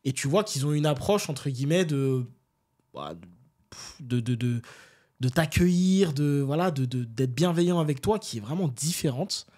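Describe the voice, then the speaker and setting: A man from France, an interview guest telling stories in casual, animated, slangy talk and often seeking agreement. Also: a little high, normal speed. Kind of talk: casual conversational storytelling.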